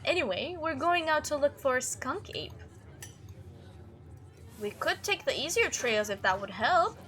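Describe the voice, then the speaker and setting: A woman's voice: high voice, very animated, unhurried, fairly bright tone, slight background noise, heavily acted. A woman voicing an upbeat, chatty young monster-hunting video maker in a visual novel read-through. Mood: friendly, sympathetic. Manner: bubbly, casual, enthusiastic.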